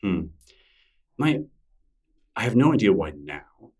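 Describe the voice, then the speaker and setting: A man's voice: on the low side, slow, breathy edge, far from the mic. A middle-aged man being interviewed as a podcast guest, talking casually and self-deprecatingly with many restarts. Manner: relaxed, rambling, self-deprecating with frequent restarts.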